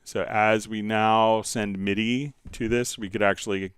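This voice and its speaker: A man hosting a casual software tutorial, thinking aloud and hesitating as he demonstrates. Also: fairly low pitch, steady tone, measured pace, background noise. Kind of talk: casual screen-share software tutorial, live stream.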